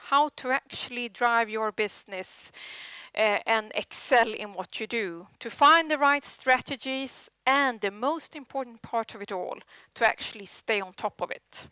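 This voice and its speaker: A woman's voice: sits high, bright, forceful tone, smooth, no echo, poor audio. A Swedish woman in her late forties with a Swedish accent, giving a chatty, self-deprecating conference talk with many fillers. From Sweden. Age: 40s. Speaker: conference speaker. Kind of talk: conference presentation. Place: conference room.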